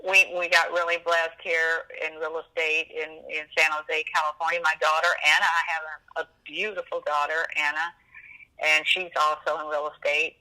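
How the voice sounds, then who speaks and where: A woman in her seventies reminiscing as an interview guest, animated and emphatic, often rambling and repeating herself. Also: deep voice, moderate pace, rough recording. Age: 70s.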